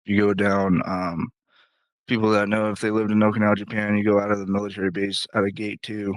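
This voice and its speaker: A man's voice: on the low side, completely flat delivery, a little fast, no room sound. A young man, a musician guest, chatting casually in an interview, telling rambling stories and swearing freely. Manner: laid-back, swears freely, rambling storyteller.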